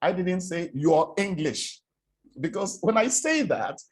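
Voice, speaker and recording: A Nigerian man whose first language is Igbo lectures on English pronunciation. His voice sits slightly high and swings widely in pitch. He talks at an unhurried pace, repeating words as he works through examples. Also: very animated. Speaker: presentation coach. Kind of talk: spoken lecture on English diction.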